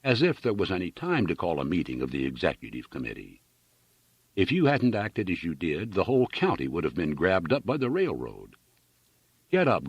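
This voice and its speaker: A man's voice: low voice, husky, no echo, full character voice. A man voicing an indignant, fiercely loyal political lieutenant in an audiobook, urging and exhorting his chief. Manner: urgent, exhorting. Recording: dull lo-fi sound.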